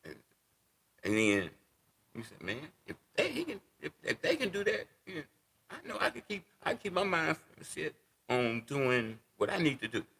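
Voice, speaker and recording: A man's voice: a little high, huge pitch swings, moderate pace, creaky, a bit bright, breathy edge. A man in his sixties, a guest in a casual interview, talking unhurriedly and repeating words as he thinks. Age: 60s.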